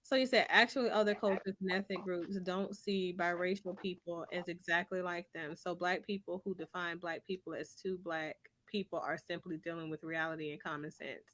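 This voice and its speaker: A woman's voice: on the low side, steady tone, fairly quick, few pauses, fairly bright tone. A woman from Finland speaking in an opinionated discussion, making her points in an emphatic, insistent and argumentative way.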